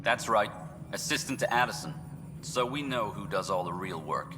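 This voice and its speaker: Male actor as slippery game bureaucrat: lowish voice, pompous, dismissive, noisy reverberant space. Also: expressive, moderate pace, lightly acted. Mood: self-important. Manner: self-important, dismissive. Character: smug, evasive male colonial bureaucrat. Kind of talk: scripted game dialogue. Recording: reverberant hall with clear background noise.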